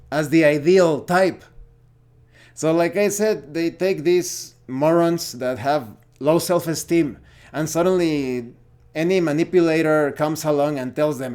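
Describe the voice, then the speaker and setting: A man's voice: on the high side, a little mellow. A man, a Spanish speaker, giving an unscripted opinion monologue as host of a gaming channel, often searching for words. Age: 40s.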